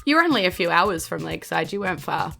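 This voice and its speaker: A woman's voice: on the low side, expressive, fast talker, vocal fry, bright, forceful tone. A woman with a New Zealand accent narrates a tabletop game as dungeon master in an informal, good-humoured way.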